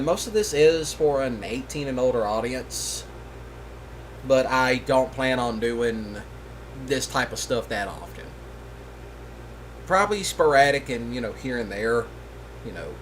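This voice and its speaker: A man's voice: medium pitch, unhurried, fairly bright tone, slight background noise, low-quality recording. A man, a content creator talking casually and in an easygoing, rambling way to his viewers.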